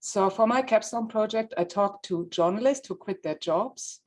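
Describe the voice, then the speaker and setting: A woman's voice: mid-range voice, moderate pace, darkish tone. A woman from Germany with a German accent, a journalist delivering a prepared presentation of a research project.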